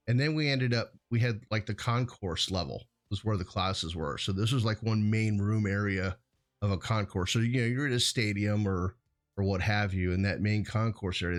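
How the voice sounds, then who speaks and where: Male show co-host, lower register, conversational and rambling, self-correcting delivery. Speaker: co-host of a hazardous materials show. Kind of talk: casual co-host chat.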